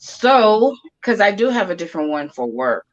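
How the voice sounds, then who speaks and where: A woman's voice: mid-range voice, very animated, moderate pace. A woman from Texas with a Texan accent, chatting casually and laughing now and then as she talks. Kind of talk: casual chat. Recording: dull lo-fi audio.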